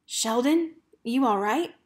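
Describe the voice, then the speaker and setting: A woman's voice: on the high side, animated, slow. A woman voicing an earnest, protective teenage soldier boy from a storybook, with urgent, folksy rural speech. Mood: urgent, concerned.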